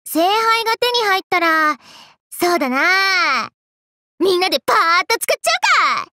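A woman's voice: very high voice, lively intonation, moderate pace, bright, forceful tone, full character voice. A woman voicing a brash, playful, hyper young woman from an anime game, with cocky, slangy, teasing delivery. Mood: dreamy, upbeat. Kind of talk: Japanese anime game character voice lines.

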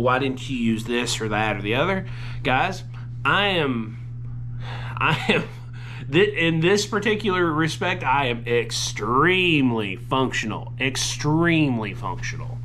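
A man's voice: medium pitch, slight background noise. Folksy young man fishing. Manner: folksy, rambling, excitable when fish bite. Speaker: fishing vlogger. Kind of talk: casual outdoor fishing vlog. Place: outdoors by a pond, later indoors at home.

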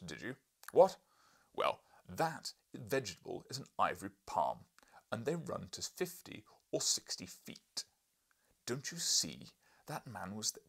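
A man's voice: fairly low pitch, creaky, sharp tone, husky, exaggerated voice. A man voicing a blustering, pompous, irascible professor in an audiobook, bellowing with scornful emphasis.

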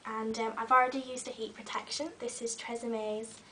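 A young woman with a cold talks casually as she curls her hair, her voice a little croaky and fairly high. She sits back from a webcam in a small bedroom, so she sounds a touch distant, with a faint hiss underneath. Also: level tone, normal speed. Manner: chatty, talks while styling hair, many ums. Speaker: beauty vlogger. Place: small bedroom with fairy lights.

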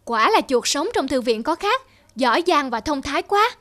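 Naive, high-pitched little cartoon rat. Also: female voice, very animated, normal speed, over music. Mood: eager, polite. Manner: eager, deferential. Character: naive, anxious, polite grey barn rat. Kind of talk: animated fable character acting.

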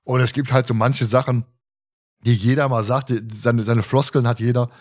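A man's voice: medium pitch, fairly even intonation, normal speed. A man in his thirties from Germany co-hosting a podcast in casual, colloquial, teasing chat. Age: 30s. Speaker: podcast host.

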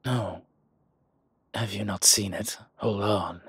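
A very husky, low-pitched dragon crime lord played by a man in an intimate roleplay audio. He speaks slowly and deliberately, commanding yet teasing and protective, with breath in the words. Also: steady tone, fairly bright tone, dry recording, full character voice. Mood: tender, proud.

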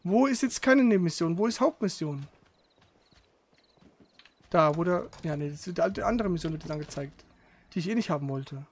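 A man's voice: high-pitched, lively intonation, moderate pace, long pauses. A man giving casual let's play commentary in a blunt, grumbling, sweary way.

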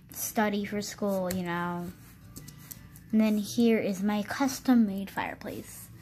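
A woman's voice: mid-range voice, a little mellow, slight background noise. A teenage girl chatting casually as she shows a game house, rambling with restarts and fillers. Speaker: channel host.

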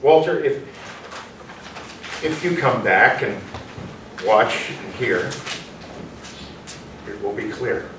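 A man's voice: medium pitch, lively intonation. Reclusive male artist, acted by a man, childlike, fragmented delivery, stage reading, recorded distantly with room echo. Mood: halting, childlike. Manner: short, halting, fragmented phrases. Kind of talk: staged play reading, theatrical acting. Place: small indoor performance room with audience. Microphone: far camera. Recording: echoey room, distant mic, faint noise.

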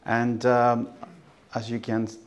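A man's voice: mid-range voice, completely flat delivery, takes it slowly. A middle-aged man from Chile with a Chilean Spanish accent, a native Spanish speaker, giving a conference presentation in a large hall with frequent fillers and restarts. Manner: hesitant, many restarts and fillers. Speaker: academic speaker.

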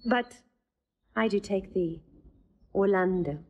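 A woman's voice: mid-range voice, dull audio. A woman acting a witty young woman in love, teasing and playful in a stage drama. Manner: playful, quick-witted, teasing. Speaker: actor playing Rosalind.

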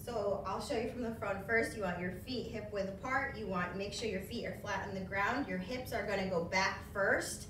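A woman demonstrating exercises, giving clear, practical coaching cues. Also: medium pitch, moderate pace, few pauses. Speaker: fitness instructor. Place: large bare indoor room. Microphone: far camera. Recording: echoey room, distant, some noise.

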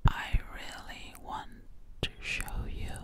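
A young woman doing close-up ASMR as a gentle alien girl, whispering breathily and soothingly right at the microphone, repeating words and phrases. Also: slow pace. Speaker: ASMR creator. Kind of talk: ASMR personal attention roleplay as an alien girl. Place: small dark indoor space, very close up. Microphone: large microphone right at the lips.

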